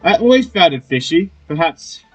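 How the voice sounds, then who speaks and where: Male let's-play streamer: lively, joking, off-the-cuff reactions over quiet game music. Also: fairly high pitch, moderate pace, low-quality recording. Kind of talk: casual gameplay commentary.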